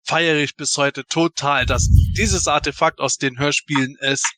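A man's voice: on the high side, steady tone, a little slow, sharp tone, no room sound. A man on a podcast panel, presenting casually and directly to his listeners with fan enthusiasm.

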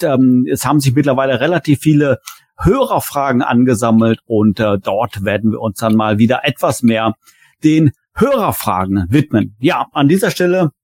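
A man's voice: medium pitch, a little mellow, no room sound, clean studio sound. A man hosting a podcast, casually addressing viewers and listeners in conversational speech. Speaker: podcast host.

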